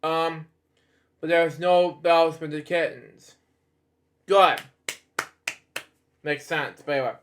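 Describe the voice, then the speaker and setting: A man hosting a read-aloud of children's stories in a casual, halting, rambling way with off-the-cuff asides. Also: on the high side, fairly even intonation, slight background noise. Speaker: host reading bedtime stories.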